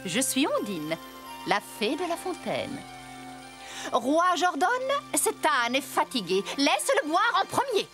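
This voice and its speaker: A woman's voice: high voice, big pitch swings, slow pace, fairly bright tone, heavily acted. A woman voicing a playful, commanding fountain fairy in a French children's cartoon, theatrical, with music behind her. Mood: mischievous command. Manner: theatrical, authoritative.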